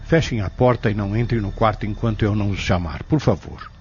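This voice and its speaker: A Brazilian man playing a calm, reserved pharmacist in an audio drama, polite and measured with gentle authority. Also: lowish voice, slightly rough, faint music behind. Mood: firm, polite. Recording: dull lo-fi audio.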